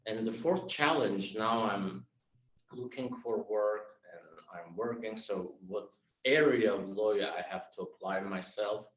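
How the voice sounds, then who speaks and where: Earnest Armenian-born man, fifties, lowish voice; echoey, dull video call sound. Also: a little slow, fairly bright tone, far from the mic. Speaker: club member giving a speech. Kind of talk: personal speech at a club meeting. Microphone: video call mic.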